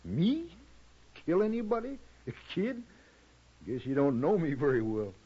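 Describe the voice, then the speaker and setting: Husky, gruff old-West stable man. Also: fairly high pitch, wildly swooping pitch, a little slow, heavily acted. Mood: softened, apologetic. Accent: rural American West. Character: gruff but soft-hearted frontier stable owner. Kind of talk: 1950s western radio drama acting. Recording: muffled old radio recording.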